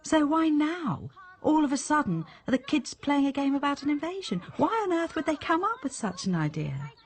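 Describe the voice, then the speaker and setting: An actress in a radio drama playing an anxious, sharp wife and mother who grows terrified. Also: high voice, very animated, moderate pace. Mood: uneasy, probing.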